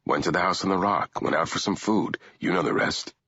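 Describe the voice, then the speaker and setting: A man voicing a weary, guarded male prisoner who answers an interrogation in a terse, flat way. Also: sits low, a little fast, breathy edge, no room sound, exaggerated voice. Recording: dull, lo-fi audiobook recording.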